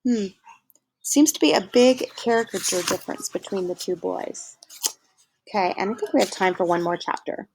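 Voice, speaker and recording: A woman teacher reading a novel aloud to her class, casually pausing to pose questions and give instructions with fillers. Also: mid-range voice, animated, gravelly, a little mellow, a little hiss or hum, poor audio.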